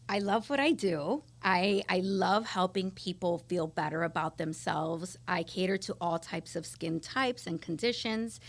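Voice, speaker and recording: A woman's voice: lowish voice, creaky. A middle-aged woman from Miami with an American accent, answering interview questions in an enthusiastic, explanatory and conversational way. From Miami, United States. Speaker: interview guest, esthetician.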